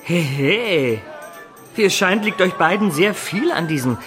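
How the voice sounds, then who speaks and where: An actor playing a sceptical, prying companion in a radio drama. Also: male voice, high voice, expressive, unhurried, a bit bright, soft background music. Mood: teasing, curious.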